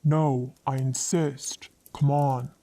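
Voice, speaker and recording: A creepy stranger, a man in his thirties, voiced by a woman reading a horror story, speaks in a lowered, mellow voice. His talk is soft and falsely friendly, but it pushes and insists. He never raises his tone. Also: flat delivery, slow pace. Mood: insistent.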